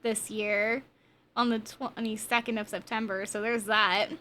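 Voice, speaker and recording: A woman's voice: fairly high pitch, little pitch movement, a little slow, bright, forceful tone, slight background noise. A woman in her twenties chatting casually with viewers on a game livestream, with a chatty, bubbly, giggly manner. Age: 20s. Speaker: game streamer.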